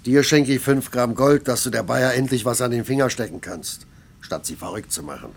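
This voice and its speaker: A man playing an older, gruff factory foreman in a German radio play, sardonic and needling, with a gravelly, husky voice. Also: medium pitch, normal speed.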